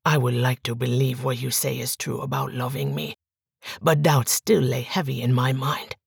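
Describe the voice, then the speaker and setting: Menacing suspicious man, read by a woman: low, slow, hushed, husky. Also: expressive, dry recording, clean studio sound, heavily acted. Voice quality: low, quiet, sometimes whispered.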